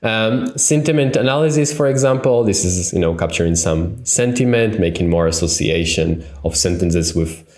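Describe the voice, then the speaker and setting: A man's voice: on the low side, expressive, normal speed, hardly any pauses, a little mellow. A man in his thirties with an Israeli accent, teaching a conference tutorial over a webcam, thinking aloud with many fillers and restarts. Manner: thinking aloud with frequent fillers and self-corrections. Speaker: tutorial instructor. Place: home room, indoors. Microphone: webcam on a video call. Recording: roomy echo.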